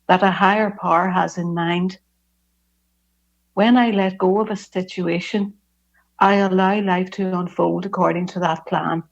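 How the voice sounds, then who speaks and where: A woman's voice: medium pitch, creaky, darkish tone, highs cut off. A middle-aged woman from Northern Ireland with a Belfast accent, sharing her personal testimony at a recovery fellowship meeting in an earnest, reflective way with frequent hesitations.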